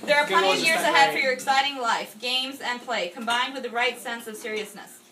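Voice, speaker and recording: A woman reads a toast aloud at an indoor party. Her voice sits fairly high, and it is picked up from a short distance on a phone, with clear noise around it. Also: a little fast. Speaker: toast reader at a birthday party. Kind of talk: reading a speech aloud with casual asides. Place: indoor house party in a kitchen. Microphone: handheld phone camera a few feet away.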